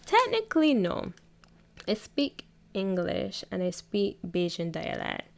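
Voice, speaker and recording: A young Barbadian woman hosts her own podcast, talking through personal questions in a relaxed, rambling way and laughing easily. Her voice sits at a medium pitch with a gravelly fry at the ends of phrases. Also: slow. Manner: chatty, laughs often, self-correcting. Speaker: podcast host. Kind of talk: casual solo podcast chat.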